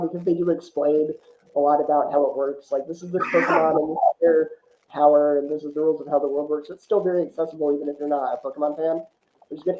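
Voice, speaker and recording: A man's voice: on the high side, echoey room, dull audio. A man chatting casually about films as a podcast guest, explaining at length with frequent asides. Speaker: guest film reviewer on a podcast. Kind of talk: casual film discussion.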